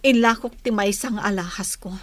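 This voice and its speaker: A woman's voice: medium pitch, fairly quick, fairly bright tone, dry recording, close to an everyday voice. A woman playing a weary, troubled young mother in a radio drama, with emotive, sighing delivery. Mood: weary, urgent. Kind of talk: Philippine radio drama acting.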